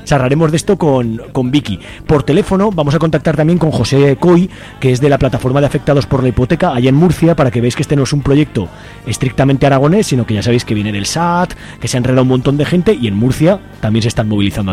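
A man's voice: medium pitch, dark tone. A man with an accent from Spain hosting a radio interview in an informal, explanatory way, often adding tag questions. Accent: Spanish from Spain. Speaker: radio host.

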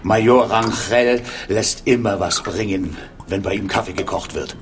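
Casting: man playing heavyset jovial cop, fairly deep, coarse buddy-style banter for radio drama. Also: a little slow, slight background noise, natural voice. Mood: jovial, chummy. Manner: joking, chummy, coarse. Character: huge jovial, crude police department chief. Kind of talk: German radio drama acting.

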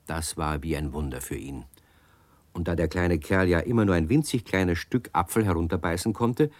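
Low-voiced guy telling a kids story, talks right to the children, dry close sound. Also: no room sound. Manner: warm, addresses the children directly. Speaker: narrator.

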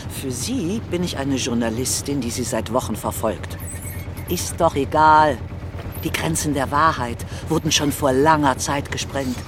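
A woman's voice: rumbling low, unhurried. A woman playing a defiant crusading journalist who poses as a baroness, theatrical and indignant in her delivery. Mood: indignant, accusing. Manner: theatrical, indignant, grandiose declaiming. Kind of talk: dramatic stage-like acting. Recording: clear background noise, vehicle-like ambience.

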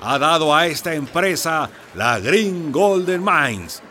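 A man's voice: fairly high pitch, lively intonation, takes it slowly, sharp tone, slight background noise, exaggerated voice. An actor playing a pompous, condescending male government minister in a radio drama.

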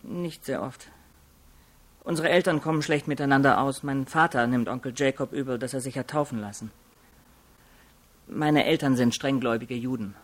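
A man's voice: mid-range voice, moderate pace, close to an everyday voice. Actor as curt, haughty young male law student. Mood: condescending. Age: young adult. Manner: curt, self-assured, condescending. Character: guarded, haughty nineteen-year-old male law student. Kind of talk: radio crime drama acting.

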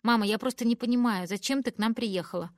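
A woman's voice: fast, dry recording, close to an everyday voice. A woman voicing a furious, cruel, drinking middle-aged mother who screams at her daughter, in a dry, close recording. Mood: scornful. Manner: shrill screaming, venomous.

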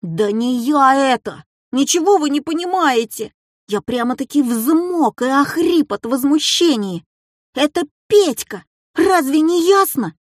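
A woman's voice: medium pitch, big pitch swings, measured pace, no room sound, clean studio sound. A woman voicing a mischievous, self-assured schoolboy in a children's story, with a cheeky theatrical delivery. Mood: indignant.

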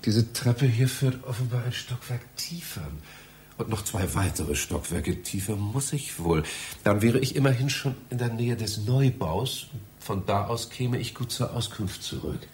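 An actor playing a polite but exasperated library visitor in a satirical radio play, dry and apologetic in manner. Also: male voice, medium pitch, lively intonation, a little slow, a little mellow, slight background noise, close to an everyday voice. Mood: exasperated muttering.

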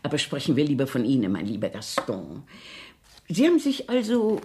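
Radio drama casting: chatty elderly grandmother, deep for a woman, very animated, dramatic about manners. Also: fairly quick, exaggerated voice. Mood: cosy, solicitous. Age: older. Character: doting, chatty old Parisian grandmother with strict propriety. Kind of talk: 1970s German radio play acting.

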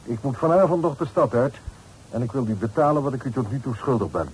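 A noisy old radio play recording of an actor playing a haughty gentleman. His voice is mellow and mid-pitched, and he speaks in formal, measured phrases with cold defiance. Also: expressive, lightly acted. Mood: cold, defiant. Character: dignified, guarded father renting rooms, coldly defiant. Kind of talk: Dutch period radio drama acting.

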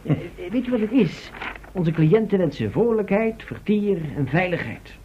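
Wordy future travel agent, higher voice, muffled. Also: male voice, animated, moderate pace. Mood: concerned, explaining. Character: talkative, courteous male travel agent from the future. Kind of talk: vintage Dutch radio drama acting. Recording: muffled old radio recording, faint hiss.

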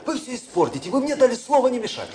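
Very high-pitched, defiant young duelist. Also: male voice, very animated, fast talker. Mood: defiant, agitated. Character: defiant, reckless young duelist refusing help. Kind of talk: radio drama acting. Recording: roomy, noisy radio drama sound.

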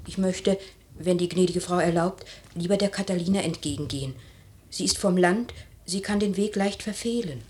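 A woman's voice: on the low side, normal speed, darkish tone, natural voice. A woman playing a polite, anxious lady's maid in a German literary radio play. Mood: deferential, anxious.

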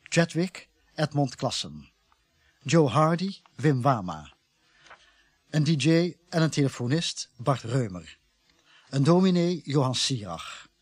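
Husky, slow male radio announcer. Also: medium pitch, big pitch swings, dry recording. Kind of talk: formal radio credits reading.

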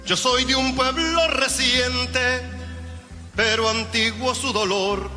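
A man singing a folk song slowly and melodically, played from a record. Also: extremely high pitch, steady tone. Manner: slow, melodic singing over guitar. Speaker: singer. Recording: song played over loud music.

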